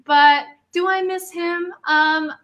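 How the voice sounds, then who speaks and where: A woman's voice: extremely high pitch, steady tone, slow pace, a bit bright. A woman singer guesting on a radio show, speaking earnestly and articulately in English. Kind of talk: casual radio interview.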